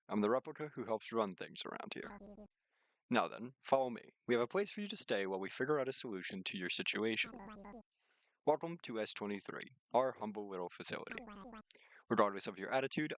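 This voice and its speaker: A man's voice: fairly low pitch, dry recording. A man voicing a stern, businesslike android administrator in a visual novel read-through. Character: stern, formal male android facility administrator.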